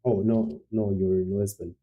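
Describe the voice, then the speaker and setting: A man's voice: on the low side, little pitch movement, normal speed, phone-quality sound, faint music behind. A man with a Portuguese accent, talking through his live trading session and chatting with viewers, hesitant, with many repeated words and fillers. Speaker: day trader livestreaming to viewers. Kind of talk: live stream commentary and viewer chat.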